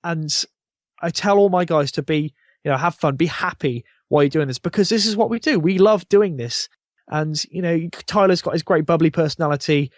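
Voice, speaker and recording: A man's voice: on the high side, fairly quick, no room sound, clean studio sound. A man talking casually as a podcast guest, rambling informally and with enthusiasm. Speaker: podcast guest, YouTube channel founder. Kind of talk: casual interview chat about a gaming channel.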